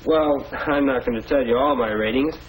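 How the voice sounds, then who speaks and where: A man's voice: mid-range voice, normal speed, lightly acted. A young male actor with an American accent playing an earnest, polite teenage schoolboy in a 1940s educational film. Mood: resolute, polite. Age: teenager or young adult. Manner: earnest, deferential. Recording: noisy old 1940s film soundtrack.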